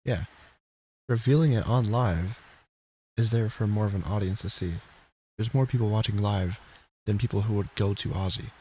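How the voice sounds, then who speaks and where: A man comments casually in conversation. His voice is on the low side, with faint noise behind it. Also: normal speed, long pauses, mellow, rough recording.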